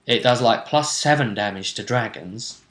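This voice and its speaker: A man hosting a tabletop role-play show, casually recapping the action in a jokey, theatrical way with drawn-out emphasis. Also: mid-range voice, fairly bright tone. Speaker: game master and host.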